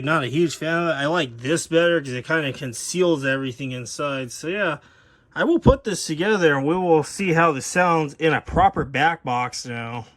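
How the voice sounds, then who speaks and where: A man's voice: mid-range voice, a bit bright. A man talking casually as a hobbyist video host, reviewing gear hands-on.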